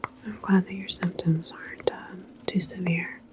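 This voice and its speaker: A woman playing a calm, reassuring transit station attendant in a sci-fi ASMR roleplay, speaking in a soft, breathy whisper with a halting, chatty manner. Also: lowish voice, lightly acted.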